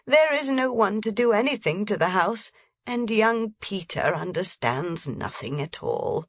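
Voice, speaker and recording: A woman voicing a frail, blind old grandmother, soft, gentle and mournful, in a storybook reading. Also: mid-range voice, lively intonation, measured pace, no echo, voice pushed up. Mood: sorrowful. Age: over 60. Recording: slightly dull, dry lo-fi recording.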